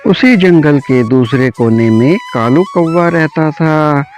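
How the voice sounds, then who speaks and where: A man's voice: fairly high pitch, animated, slow. A man narrating a scripted animal story for children as a storyteller. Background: soft background music.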